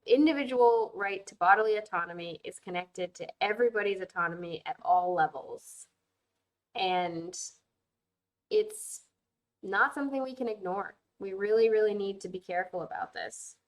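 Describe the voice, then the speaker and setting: A woman's voice: mid-range voice, moderate pace, low-quality recording. A woman host giving earnest, emphatic casual commentary while playing a video game, muttering asides to herself as she plays.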